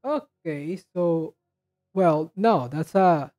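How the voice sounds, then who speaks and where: A reaction video host giving casual commentary, halting and repeating words while thinking aloud. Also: mid-range voice, animated, warm tone, muffled recording.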